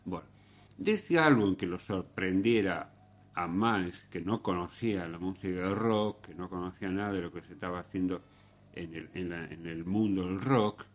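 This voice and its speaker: A man hosting a radio music program, telling anecdotes in an unhurried, halting way with frequent restarts. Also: low-pitched. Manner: unhurried storyteller, pauses and restarts, swings in loudness. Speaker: radio host.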